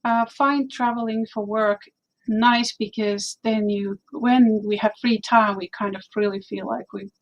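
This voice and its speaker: A woman's voice: medium pitch, steady tone, a little slow, highs cut off. A woman from Finland with a Finnish accent, chatting casually on a livestream with frequent "uh" fillers. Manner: hesitant, frequent "uh" fillers, light laughs. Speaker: livestream co-host.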